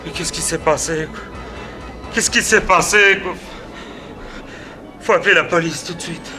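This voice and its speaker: An actor playing an excitable, grieving fairground barker in a French radio detective serial, theatrical and halting. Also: male voice, on the high side, music in the background, lightly acted. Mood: shocked, distraught. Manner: theatrical, halting and exclamatory when upset.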